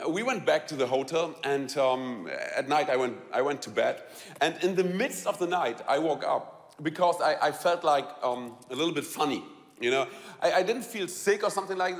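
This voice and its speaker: German-accented man, echoing hall. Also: fairly high pitch. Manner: halting, repeats words, laughs often, quizzes the audience. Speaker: speaker telling a personal story. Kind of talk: live storytelling talk to an audience.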